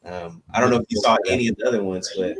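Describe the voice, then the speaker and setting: A man hosting a sports podcast, chatting casually in a halting way with repeated words. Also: on the low side, crowd noise, rough recording.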